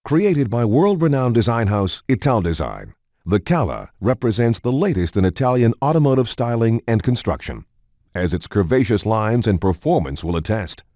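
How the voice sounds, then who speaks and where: A man's voice: mid-range voice, big pitch swings, normal speed, mellow, dry recording, clean studio sound. A man reads scripted promotional narration for a racing game in a polished announcer style. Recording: music behind the voice. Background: background music.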